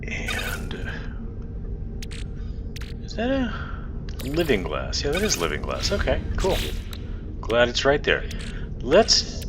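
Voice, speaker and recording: A man's voice: huge pitch swings, takes it slowly, long pauses. A man giving casual video game commentary, thinking aloud and mumbling to himself in a rambling, easily delighted way. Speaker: gaming channel host. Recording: very noisy, constant loud background rumble.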